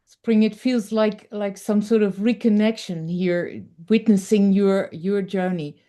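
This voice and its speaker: A woman speaking informally in measured, reflective short phrases, offering appreciation to someone on a video call. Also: mid-range voice, steady tone, warm tone. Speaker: participant giving thanks at an online gathering.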